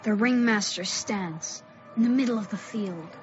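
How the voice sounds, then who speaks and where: A woman playing a bold, defiant thirteen-year-old small-town boy in a radio drama, brash and excitable. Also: mid-range voice, normal speed, close to an everyday voice. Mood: hushed awe. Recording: dull lo-fi audio with music.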